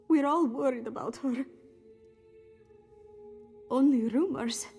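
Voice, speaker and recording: A woman voicing a worried, grieving cat-person mother in a fantasy video game, with a husky, plaintive delivery. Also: medium pitch, dark tone, natural voice. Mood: sad, pensive. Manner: plaintive, speaks of herself in third person. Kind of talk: scripted video game dialogue.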